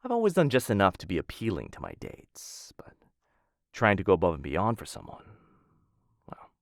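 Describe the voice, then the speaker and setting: A man performing an ASMR roleplay as a suave, smitten assassin of a noble house, speaking in formal, courtly phrases with hesitant softer asides into a close mic. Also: low-pitched, huge pitch swings, moderate pace, no echo. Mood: bashful, sincere. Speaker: voice actor in a boyfriend roleplay audio. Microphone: close studio-style mic.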